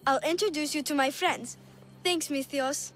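A woman performs a sweet little girl for a video game. The voice is high and childlike. She is earnest, a little shy and trusting, muddling the odd word. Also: moderate pace, lightly acted. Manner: childlike, mispronounces words. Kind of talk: video game character dialogue.

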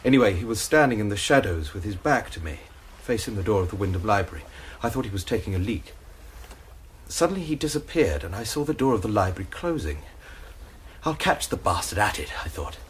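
A nervous young student confessing. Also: male voice, lowish voice, very animated, a little fast, slight background noise. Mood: worried. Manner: anxious, rambling confession. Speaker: student suspect. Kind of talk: BBC radio drama acting.

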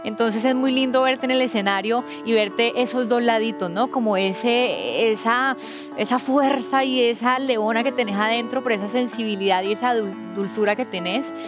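Young female coach, a bit high-pitched, effusive, with soft music under her voice. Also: moderate pace. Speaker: show coach. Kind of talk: casual spoken feedback. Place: large TV studio.

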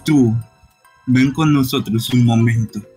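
Man voicing a smug slow-talking gas monster. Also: mid-range voice, mellow, breathy edge, faint music behind. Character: smug bullying male poison-gas creature villain. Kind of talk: amateur live game dubbing.

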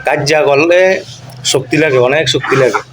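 A man's voice: on the high side, fairly quick. A man with a rural eastern Bengali dialect bantering casually on a noisy phone video call, insistent and teasing, repeating his phrases.

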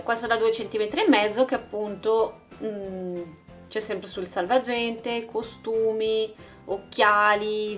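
A woman hosting a craft channel, casually narrating an unboxing and presenting items one by one in an informal way. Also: mid-range voice. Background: background music.

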